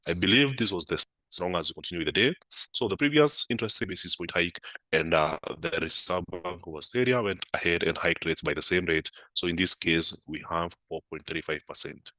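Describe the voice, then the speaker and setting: A man's voice: low voice, bright, forceful tone, dry recording, low-quality recording. A man hosting a live market analysis stream, his voice a little off from a flu, talking unhurriedly with many "uh" fillers. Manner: unhurried, with frequent "uh" fillers, answering live comments. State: voice affected by a flu.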